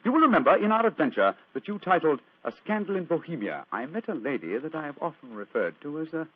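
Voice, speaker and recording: Dull lo-fi radio recording, fast-talking gentleman detective, highish husky voice, debonair, witty, theatrical. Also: sing-song, heavily acted. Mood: cheerful, assured. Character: brisk, witty, urbane gentleman detective in love. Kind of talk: old-time radio drama acting.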